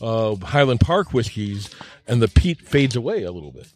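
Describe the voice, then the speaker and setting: A man's voice: fairly low pitch, big pitch swings, moderate pace. A man hosting a casual round-table chat outdoors, stammering and often repeating words as he feels his way through sentences. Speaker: show host. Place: outdoors by a bonfire.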